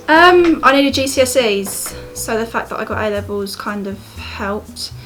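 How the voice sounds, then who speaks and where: A young English woman speaks slowly and unhurriedly in a fairly high voice, easing into her answers with fillers. Light background music plays under her interview. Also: animated, fairly bright tone. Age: 20s. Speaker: apprentice assistant quantity surveyor.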